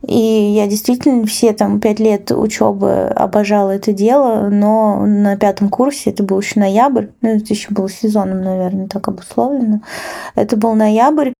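A woman's voice: mid-range voice, fairly even intonation, normal speed, creaky, dark tone. A woman casually recounting a personal memory as a podcast guest, candid and colloquial. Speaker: guest editor telling a personal story. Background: background music.

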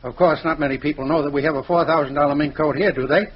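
A muffled old radio recording of a tight-fisted American department store boss. His voice is husky and a bit high for a man. He grumbles and blusters like someone forever exasperated. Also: fairly even intonation, fast talker. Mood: grudging. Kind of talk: old-time American radio drama acting.

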